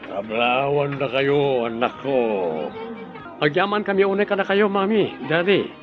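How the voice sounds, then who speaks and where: A man's voice: on the high side, fairly even intonation, unhurried, narrow, tinny audio, music in the background, lightly acted. A Filipino man playing a devoted young groom, earnest and easygoing, in a radio drama.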